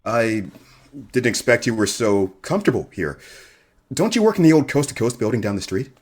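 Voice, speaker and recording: An actor playing a nervous, deferential radio journalist in an audio drama, hesitant and stammering. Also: male voice, medium pitch, lively intonation, fairly quick, natural voice. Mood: awkward, friendly. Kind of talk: full-cast audio drama acting.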